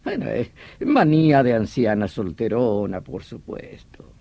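Vehement, mellow-voiced police detective, swooping emphatically. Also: male voice, medium pitch, wildly swooping pitch, unhurried, gravelly, full character voice. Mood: explanatory. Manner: emphatic, theatrical, explanatory. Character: vehement veteran police detective obsessed with hunting a vampire villain. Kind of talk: radio drama acting.

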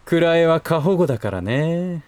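Give this to a smooth, scheming gentleman of business with a fairly high voice. He speaks softly polite words with a teasing, condescending edge, and his pitch swings freely as in a polished audio drama. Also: animated, measured pace, no echo. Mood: amused, provocative. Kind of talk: Japanese voice drama acting.

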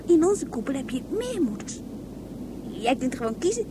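A chatty little girl, high voice, plain-spoken, radio drama, noise behind her. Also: big pitch swings, moderate pace. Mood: earnest. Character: chatty, matter-of-fact young girl, David's friend. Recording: clear background noise.

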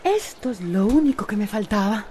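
A woman's voice: medium pitch, big pitch swings, normal speed, natural voice. A woman playing a sceptical, independent, exasperated character in a radio drama. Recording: noisy background.

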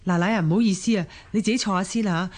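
An actress playing a worn but dutiful middle-aged daughter-in-law with a Hong Kong Cantonese accent, earnest and deferential, in a radio drama. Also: female voice, medium pitch, rapid-fire, darkish tone, lightly acted. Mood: pleading, hurried.

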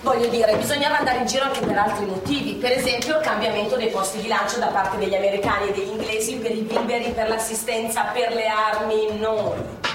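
A woman's voice: on the high side, steady tone, brisk, natural voice. An actress playing a proud, guarded former Resistance courier, telling her wartime memories emphatically and colloquially with a Milanese accent, in stage drama style. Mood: proud, earnest. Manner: emphatic, colloquial storytelling with repetitions and interjections. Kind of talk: theatrical stage monologue and dialogue. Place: theatre stage. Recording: echoey theatre stage, distant mic, faint noise.

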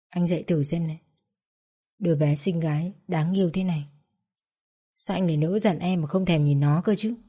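A woman voicing a grieving young mother who talks softly and pleads close to tears. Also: lowish voice, lightly acted. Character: grieving young mother at her husband's bedside.

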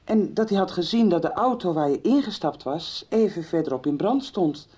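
A careful, hesitant young woman in a radio play. She speaks haltingly, often repeating words, with a husky, mellow, dark voice. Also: on the low side, expressive. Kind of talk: 1990s radio drama acting.

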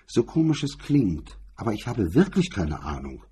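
A man's voice: on the low side, big pitch swings, normal speed, mellow, slightly rough, exaggerated voice. A man voicing a sullen, cornered lawyer, weakened and resigned, with a sulky, dull manner. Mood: wary.

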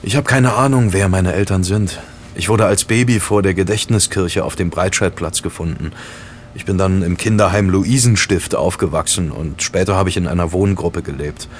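An actor playing an easygoing young night porter in a German radio play, with a casual, colloquial way of talking. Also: male voice, low-pitched, moderate pace, slight background noise, close to an everyday voice. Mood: friendly, open.

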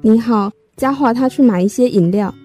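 A woman's voice: on the high side, normal speed, dark tone, soft background music, natural voice. A woman acting a polite, modest mother of a grown son in a radio drama.

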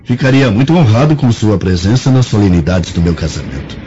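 A dignified young Roman brother acted by a man pleads formally with a Brazilian accent. His mellow voice moves widely in pitch, in radio drama style. The recording carries faint noise. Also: expressive, a little fast, muffled recording, natural voice. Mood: concerned, persuading. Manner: earnest, formal.

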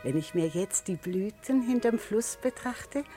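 An actress playing a wistful boat-rental woman in a lyrical radio drama, speaking in dreamy, measured phrases. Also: medium pitch, animated, dark tone, faint music behind, natural voice. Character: dreamy, wistful woman who rents out boats.

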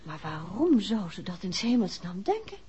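Actress as a lively, poised detective's wife. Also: female voice, mid-range voice, moderate pace, natural voice. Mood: curious, affectionate. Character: detective's wife, curious, poised, affectionate, teasing. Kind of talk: 1960s Dutch radio play acting. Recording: dull, hissy old radio recording.

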